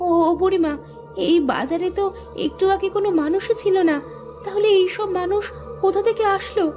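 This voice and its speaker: A woman's voice: extremely high pitch, brisk, mellow, soft background music. A woman playing a curious, easily frightened young heroine in a horror cartoon. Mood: alarmed, puzzled. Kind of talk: Bengali horror cartoon acting.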